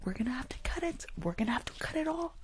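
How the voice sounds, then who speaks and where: A woman's voice: high voice, big pitch swings, fast, background noise. A woman playing a rude, unqualified school nurse in an ASMR roleplay, bossy and mock-reassuring, repeating herself. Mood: mock-apologetic. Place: small indoor room.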